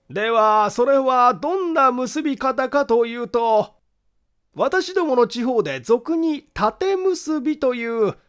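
A man voicing a meticulous amateur detective in an audiobook, methodically and formally laying out his reasoning. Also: very high-pitched. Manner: deliberate, formal, methodical explaining. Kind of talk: acted audiobook monologue.

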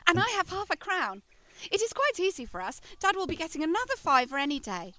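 An actress in a radio play voicing an affectionate, naive young daughter, earnest and childlike. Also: female voice, very high voice, very animated, quick pace, sharp tone, full character voice. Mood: naive, excited.

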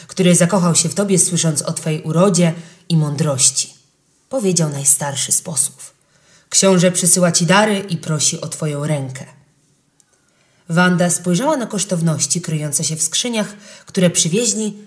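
Lower-voiced woman reading a children's legend. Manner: calm, clear storybook reading. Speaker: storyteller. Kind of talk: scripted narration of a legend for children.

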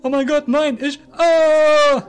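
A man's voice: extremely high pitch, steady tone, slow, voice pushed up. A man voicing a cruel, sly master of a shadow guild, curt and smug in manner. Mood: mocking, cruel.

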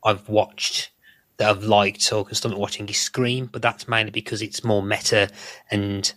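A man with a fairly low but bright, forward voice talks casually as a podcast guest. He feels his way haltingly through sentences, and the sound is dry and close. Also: little pitch movement, dry recording. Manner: halting, repeats words while thinking. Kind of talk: casual chat.

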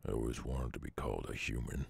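A man voicing a brooding, weary loner werewolf with a Southern US accent and a gruff, husky drawl, in an ASMR audio roleplay. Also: extremely low pitch, a little mellow, heavily acted. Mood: softening, amused. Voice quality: very gravelly, husky. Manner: slow, drawling, murmured.

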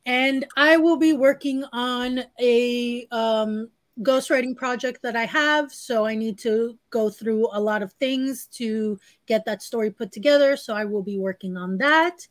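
Upbeat high-voiced woman hosting a live stream. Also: unhurried, few pauses, no echo. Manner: laughs often, upbeat and chatty. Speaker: host of a live writing stream. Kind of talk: casual live-stream chat, reading out comments. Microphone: webcam on a video call.

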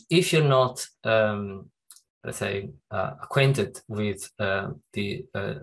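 A man in his fifties with an Italian accent, moderating a webinar panel in a conversational, halting way over a video call. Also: lowish voice, gravelly, slightly rough. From Italy. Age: 50s. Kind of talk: introducing a panel discussion, semi-formal and conversational. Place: music room at home.

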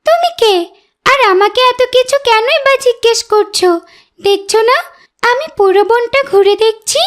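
Little girl duckling, extremely high voice, childlike, headstrong, pouty, naive cartoon character. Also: normal speed. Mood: curious. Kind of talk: animated fairy-tale voice acting.

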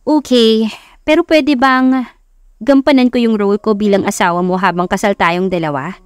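A woman's voice: medium pitch, normal speed, clear, resonant tone, no echo, studio quality, close to an everyday voice. A Filipino woman voicing a defensive, flustered young woman hiding a crush, in an audiobook romance. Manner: snappy, flustered.

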